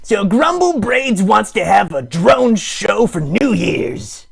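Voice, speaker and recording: A man voicing a needy, melodramatic puppet streamer, theatrical, sarcastic and mock-grand, with a gravelly, husky voice, improvising a comic livestream. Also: high voice, big pitch swings, slow, exaggerated voice. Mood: conspiratorial, playful. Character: melodramatic, needy puppet live streamer with big wry bravado. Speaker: host of a live puppet show stream.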